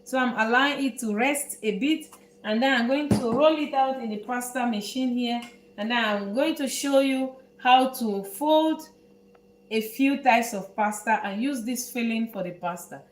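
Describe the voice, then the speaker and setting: A Dutch woman with a Dutch accent, speaking English as her second language, hosting a live cooking tutorial from her home kitchen in an unhurried, halting way that repeats words as she works. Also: a little high, echoey room. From Netherlands. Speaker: home cook host.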